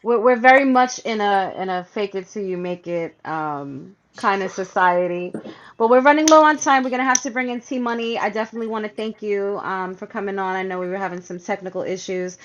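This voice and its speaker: A woman's voice: medium pitch, a little fast, muffled recording, a little hiss or hum. A woman co-hosting a casual radio interview in a conversational way. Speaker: radio show co-host.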